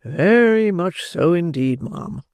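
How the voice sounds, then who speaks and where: A man voicing a pompous, self-important middle-aged beadle who speaks slowly and gravely. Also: on the high side, lively intonation, a little mellow, no room sound, pitched above the performer's own voice. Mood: pompous solicitous.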